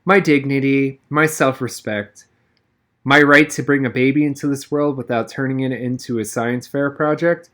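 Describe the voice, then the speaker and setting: A man's voice: medium pitch, steady tone, moderate pace, fairly bright tone, natural voice. Man voicing an indignant, stubborn pregnant woman. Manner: scornful, stubborn.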